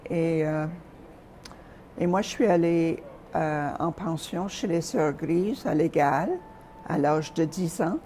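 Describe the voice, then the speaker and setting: Soft-spoken older woman, mellow voice. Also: low voice, slight background noise. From Calgary, Canada. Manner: soft-spoken, hesitant with frequent pauses. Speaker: interview guest. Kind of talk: reminiscing in an interview.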